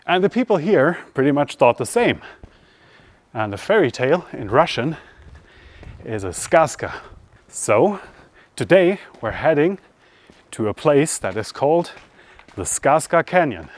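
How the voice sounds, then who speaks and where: A man's voice: a little high, sing-song, measured pace, pauses often. A man hosting a travel vlog, talking casually and conversationally to camera outdoors, often thinking aloud. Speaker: travel vlogger, host. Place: outdoors by roads, lakeside and mountains.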